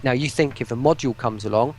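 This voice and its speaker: A British man chatting casually as an interview guest, in a halting way as he thinks aloud. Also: medium pitch, a little mellow, a little hiss or hum. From United Kingdom. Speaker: guest, voice pack producer.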